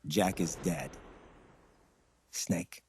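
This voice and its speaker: An actor playing a mysterious, haunted ally in a video game, solemn and cryptic, with a low gravelly voice. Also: male voice, drawn-out words, a little mellow, slight background noise. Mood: grave, warning. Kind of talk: dramatic video game acting.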